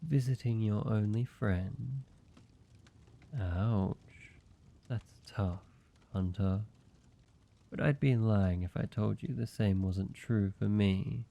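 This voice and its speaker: A man playing a lonely, courteous, softly wry vampire in a cozy audio roleplay, speaking in a measured, intimate way with pauses. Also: lowish voice, gravelly. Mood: wry, companionable. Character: lonely, gentle, teasing male vampire, centuries-weary and courteous. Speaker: amateur voice actor. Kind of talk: cozy scripted audio roleplay, addressed to one listener.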